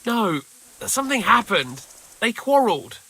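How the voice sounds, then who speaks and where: An actor playing a shaken, bitter male raid survivor in a video game, speaking haltingly. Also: sits high, sing-song, vocal fry, sharp tone, husky, full character voice, poor audio. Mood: subdued, bitter. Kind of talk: video game dialogue acting.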